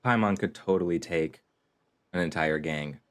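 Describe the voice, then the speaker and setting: A man's voice: sits low, moderate pace, smooth. A man doing casual, rambling live-stream commentary on a video game, with jokey reactions. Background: game music.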